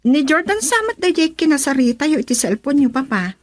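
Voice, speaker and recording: A woman's voice: high voice, big pitch swings, fairly quick, dry recording. A woman playing a persuasive, eager young married daughter in an Ilocano radio drama, with a Filipino accent.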